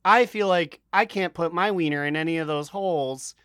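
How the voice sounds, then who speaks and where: A man's voice: a little high, normal speed, fairly bright tone, clean tone, no echo, clean studio sound. A man co-hosting a podcast, bantering casually with a bawdy, joking manner. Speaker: podcast co-host.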